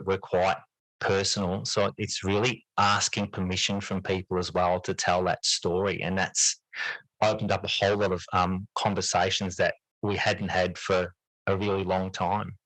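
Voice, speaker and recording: Low-voiced man, reflective interview guest. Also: fairly bright tone, no room sound, poor audio. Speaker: interview guest, teacher and writer. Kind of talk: reflective conversational interview answers.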